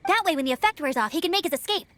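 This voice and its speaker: A woman voicing an upbeat, confident young female scout in an anime-style video game, with an energetic, playful, encouraging manner. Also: extremely high pitch, very fast, dry recording. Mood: determined. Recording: faint game music behind.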